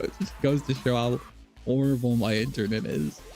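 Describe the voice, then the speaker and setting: A man's voice: medium pitch, normal speed, warm tone, soft background music. A man live streaming a video game, chatting casually with viewers and thinking aloud in a rambling, repetitive way.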